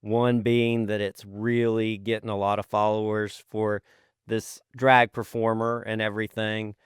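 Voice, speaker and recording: Clear-voiced man chatting on a podcast. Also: lowish voice, little pitch movement, unhurried, no room sound, clean studio sound. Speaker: podcast co-host. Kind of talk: casual chat.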